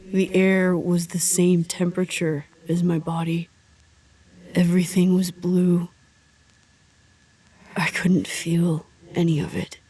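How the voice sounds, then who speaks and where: An actress playing a determined, bossy leader of a wandering group in an audio drama, with a commanding, insistent delivery. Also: female voice, lowish voice, dark tone. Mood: eerie, subdued narration. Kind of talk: audio drama acting with narration.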